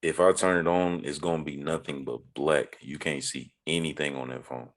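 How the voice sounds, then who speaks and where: A man's voice: a bass voice, fairly even intonation, moderate pace. A man chatting casually on a podcast in a rambling, slangy, profane way. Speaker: podcast co-host or guest.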